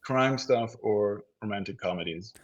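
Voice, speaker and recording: A man with a fairly low voice and a near-American accent, a native Dutch speaker. He talks loosely and slangily, working out his thoughts as he goes in a casual interview. The audio is a little muffled and lo-fi. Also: moderate pace. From Netherlands. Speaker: actor, interview guest.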